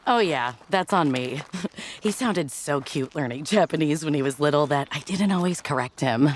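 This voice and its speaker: A woman's voice: deep voice, normal speed, slight background noise, close to an everyday voice. A woman voicing an easygoing, frank and supportive woman in a motion comic, with casual, slangy phrasing. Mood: playful.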